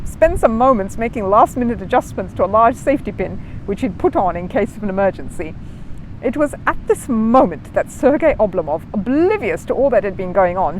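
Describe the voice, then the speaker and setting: Lady reading a kids book out loud, animated, mid pitch, some background noise. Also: poor audio. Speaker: storyteller reading a children's book aloud. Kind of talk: expressive scripted narration.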